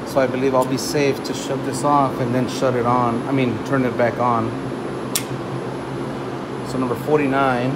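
A refrigeration technician talking to viewers while he tests wiring in a store's walk-in cooler, thinking aloud as he works, recorded on a nearby phone. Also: mid-range voice. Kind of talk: casual hands-on work vlog. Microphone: phone camera held or propped nearby. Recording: loud machinery and fan noise behind.

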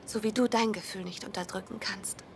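A woman dubbing a friendly but guarded young woman who talks gently and hesitantly, in a German sitcom dub. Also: mid-range voice, fairly even intonation, fairly quick, gravelly, noisy background, natural voice. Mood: gentle. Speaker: dubbed sitcom lead.

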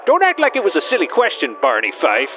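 A man's voice: sits high, huge pitch swings, fast talker. Cheeky, swooping troublemaker played by a man. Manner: cheeky, argumentative. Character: cheeky small-town troublemaker needling a cop. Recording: muffled recording with music behind.

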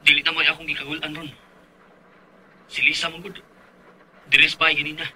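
An actor playing an uneasy, resentful live-in boyfriend in a Cebuano radio drama, with a rough husky voice. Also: male voice, on the high side, little pitch movement, fairly quick, sharp tone, heavily acted, low-quality recording. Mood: uneasy, apologetic.

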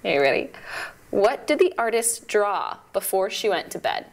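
A woman's voice: medium pitch, very animated, normal speed. A young woman voicing a playful puppet that tells jokes on a children's show. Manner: playful question-and-answer joke telling. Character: Tuna, joke-telling puppet.

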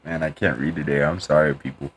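A man's voice: a bass voice, flat delivery, quick pace, a little hiss or hum. A man casually commenting while playing a game, rambling and joking at himself. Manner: rambling, self-deprecating, mumbly. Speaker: gaming youtuber. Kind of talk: casual let's-play commentary.

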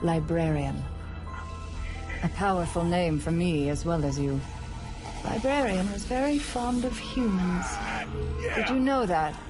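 A woman's voice: lowish voice, unhurried, pauses often, close to an everyday voice. An actress playing a driven, bitter older woman scientist in a dramatic video game cutscene. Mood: calculating. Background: music.

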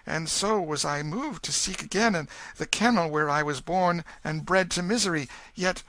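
A man's voice: on the high side, normal speed, fairly bright tone, no echo, voice pushed up. A man voicing a humble, frightened pauper boy who speaks in old-fashioned English to a king. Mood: timid. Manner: trembling, humble, archaic speech. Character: pleading pauper boy mistaken for a prince.

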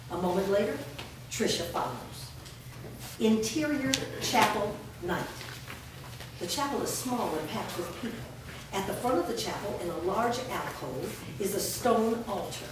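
A woman reading scripted stage directions aloud as narrator at a staged reading. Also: mid-range voice. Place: large bare indoor room, staged reading before a small audience. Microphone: distant camera. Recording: echoey room from far off, noisy.

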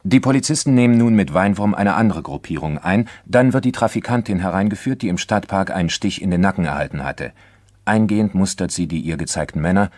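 A man narrating a crime documentary drama from a script in a formal, measured manner. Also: deep voice, darkish tone, no echo.

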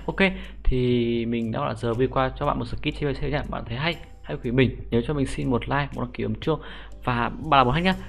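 A young man giving a casual, slangy game tutorial as an excitable YouTuber, talking viewers through a menu. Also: mid-range voice, creaky. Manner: informal, excitable slang, repeated sentence-end particles. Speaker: gaming YouTuber. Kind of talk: casual screen-recorded game script tutorial. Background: music.

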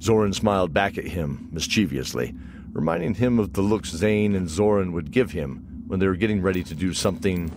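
A man's voice: low voice, animated, fairly quick, noisy background. A man narrating a scripted fantasy audio drama as its storyteller. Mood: measured storytelling.

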